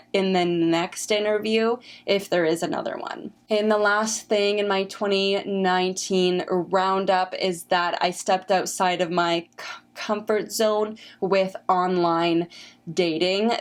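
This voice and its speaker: A woman in her twenties with a stutter, talking casually and reflectively to camera as a vlogger, with frequent repeated sounds and restarts. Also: mid-range voice. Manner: slow, reflective and encouraging.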